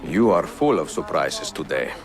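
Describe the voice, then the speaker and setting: A male actor playing a seasoned, middle-aged Italian master assassin in a video game, speaking with an Italian accent in a measured, wry way. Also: rumbling low, very animated.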